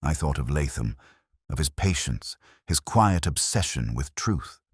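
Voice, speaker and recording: A man narrating a mystery drama as a brooding, reflective detective inspector, in a measured, solemn and literary delivery. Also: extremely low pitch, lively intonation, slightly rough, dry recording, studio quality, heavily acted. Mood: grave, weary. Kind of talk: scripted first-person mystery narration.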